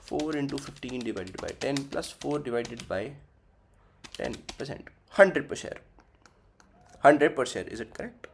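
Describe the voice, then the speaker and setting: A man's voice: medium pitch, breathy edge. A young man teaching a finance class, working through the numbers aloud and repeating figures as he goes, switching between Hindi and English. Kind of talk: recorded finance lesson.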